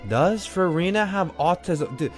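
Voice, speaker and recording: High-pitched, slangy male game streamer. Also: lively intonation, measured pace. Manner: slangy, sweary, repeats himself. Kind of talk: casual game livestream commentary. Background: game music.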